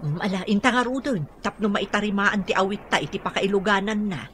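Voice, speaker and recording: Woman acting an affectionate market mother, mid pitch. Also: brisk, fairly bright tone, a little hiss or hum, natural voice. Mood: chatty. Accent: Ilocano. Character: affectionate, hardworking village mother selling fish at market. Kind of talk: Ilocano radio drama acting.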